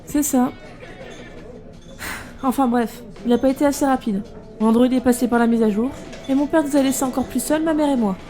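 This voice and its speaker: A young female engineer, played by an actress in an audio drama, with a very high voice over faint music. She is blunt and irreverent, talking in casual slang with a sardonic, defiant edge. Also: fairly quick, pauses often, dark tone, close to an everyday voice. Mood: bitter, resigned.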